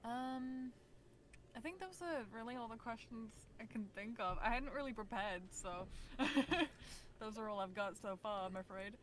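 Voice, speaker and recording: A young British woman answering interview questions in a soft, approachable, giggly way. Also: fairly high pitch, a little slow, slight background noise. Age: young adult. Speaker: voice actor guest.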